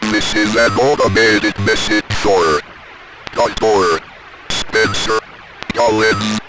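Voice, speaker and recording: An automated machine voice reading out transmission notices in a cold, impersonal, halting robotic manner. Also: medium pitch, loud music behind the voice, natural voice. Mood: impersonal, emotionless. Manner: flat, halting, robotic phrasing. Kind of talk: automated scripted announcement.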